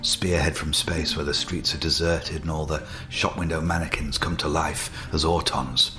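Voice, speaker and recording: A man with a deep but bright, forceful voice reflects on the episode with evident enthusiasm. Faint music sits under his commentary. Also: level tone, moderate pace.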